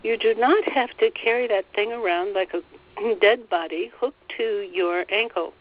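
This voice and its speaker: A woman leading a guided meditation, relaying a guide's words in a gentle, soothing way and often repeating phrases. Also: mid-range voice, normal speed, narrow, tinny audio. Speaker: spiritual teacher leading a meditation.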